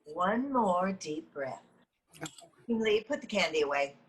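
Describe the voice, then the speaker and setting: A woman's voice: fairly low pitch, animated, measured pace. A woman acting an earnest, easily exasperated leader of a women's goddess meeting in a comedy script read over a video call. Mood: earnest, preachy. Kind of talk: live comedy screenplay table read. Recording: online call with faint noise.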